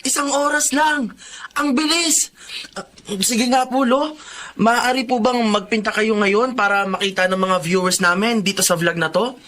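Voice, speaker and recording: An actor playing an eager twenty-year-old Filipino son who draws and makes vlogs, in a radio drama. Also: male voice, sits high, fairly even intonation, normal speed, bright, forceful tone, a little hiss or hum. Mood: upbeat. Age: young adult. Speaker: lead actor and narrator.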